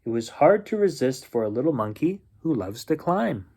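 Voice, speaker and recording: A man reading a children's picture book aloud as a bedtime storyteller, expressively and with drawn-out suspense. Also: medium pitch, very animated, vocal fry, a little mellow, breathy edge.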